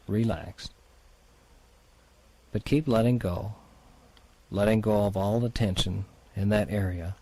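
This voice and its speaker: A man leading a guided relaxation exercise as a therapist, in a soothing, unhurried, gently instructing manner. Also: fairly low pitch, low-quality recording.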